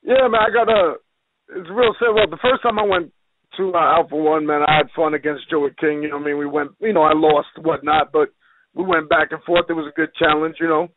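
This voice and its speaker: A man's voice: a little high, fairly even intonation, brisk. A man giving a casual interview as a professional wrestler, blunt, streetwise and full of slang, over a phone line. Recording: hissy, noisy, tinny phone line.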